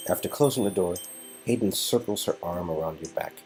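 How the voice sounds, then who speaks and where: A man's voice: low-pitched, fairly quick, darkish tone, faint music behind. A man reading scripted second-person romance narration and dialogue from an interactive story. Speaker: narrator and co-reader.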